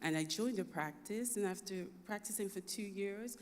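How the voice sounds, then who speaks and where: A middle-aged woman from Jamaica with a Jamaican accent, a doctor answering interview questions in a warm, lively storytelling way. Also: medium pitch, normal speed, in a large hall. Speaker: guest physician.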